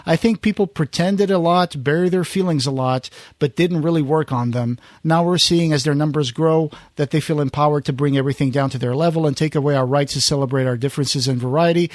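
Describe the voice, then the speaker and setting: Higher-voiced man hosting a casual podcast. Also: brisk, hardly any pauses, dry recording, clean studio sound. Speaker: podcast host. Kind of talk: casual podcast talk, reading out listener comments.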